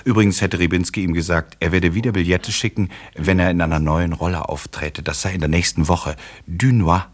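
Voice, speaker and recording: A man with an extremely low, husky voice narrates a literary radio play. His storytelling is measured and dry, fully scripted. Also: steady tone.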